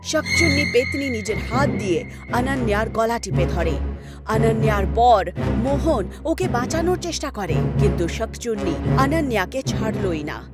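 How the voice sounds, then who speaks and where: Lively woman narrating horror tales. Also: fairly high pitch, normal speed, over loud music. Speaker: story narrator. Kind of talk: dramatic scripted horror narration.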